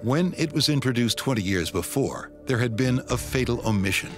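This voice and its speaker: A man's voice: mid-range voice, lively intonation, normal speed. A man reading scripted, dramatic narration as the narrator of a documentary. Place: voice-over booth. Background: background score music.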